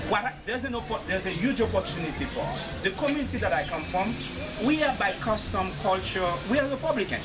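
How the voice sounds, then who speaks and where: A man from Haiti with a Haitian accent making impromptu, roundabout and repetitive comments from the audience at a political meeting. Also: high-pitched. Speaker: audience member, local party committee member. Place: public meeting, recorded at a distance. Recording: far from the mic, loud music behind.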